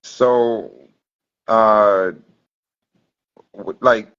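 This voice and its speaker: A man's voice: fairly low pitch, fairly even intonation, very slow, fairly bright tone, smooth. A male interview host, laid-back, asking questions in casual chat with many short agreements. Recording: dull, lo-fi sound.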